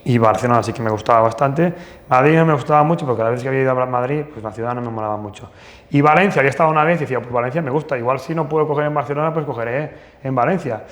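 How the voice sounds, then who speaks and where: A man's voice: medium pitch, racing. Man telling stories, hesitant. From northern Portugal. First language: Portuguese. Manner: hesitant, many restarts and fillers, animated anecdotes. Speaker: plastic surgeon guest. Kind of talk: casual interview storytelling. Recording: long hall reverb.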